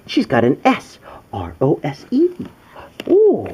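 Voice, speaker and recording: A man's voice: fairly high pitch, wildly swooping pitch, slow, dark tone, slightly rough, dull audio. A man reading a children's storybook to his young daughter as her father, with exaggerated wonder. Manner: exaggerated wonder, gasps and coos.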